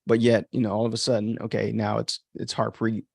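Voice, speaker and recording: A man's voice: on the low side, completely flat delivery, brisk, gravelly, a little mellow, slightly rough, dry recording, clean studio sound. A man hosting a casual livestream commentary on his own work as an author, thinking aloud in an informal, self-critical way. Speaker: author and podcast host.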